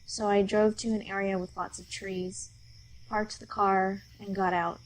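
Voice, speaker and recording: A woman telling the story of a dream in a soft-spoken storytelling manner that sometimes turns whispery. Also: medium pitch, little pitch movement, a little slow, poor audio. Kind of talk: recounting a dream.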